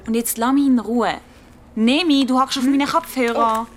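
A woman's voice: on the high side, steady tone, normal speed, a little hiss or hum, close to an everyday voice. A woman playing an exasperated, cool teenage older sibling in a children's radio play, with a Swiss German accent and slangy, scolding talk. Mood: annoyed.

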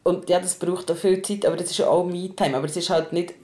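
A woman's voice: fairly low pitch, fairly even intonation, fast talker, warm tone. A young woman hosting a podcast in a casual, chatty style. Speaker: podcast host.

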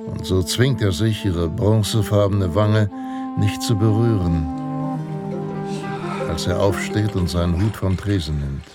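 A low male voice narrates a pulp Western for radio, grave and self-assured. The delivery is measured and dramatic, with plucked guitar clearly playing behind it. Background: guitar music.

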